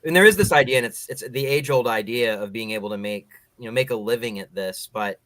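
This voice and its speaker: A man's voice: mid-range voice, fast, a bit bright, no echo. A man hosting an interview show, asking long, opinionated questions in a conversational way.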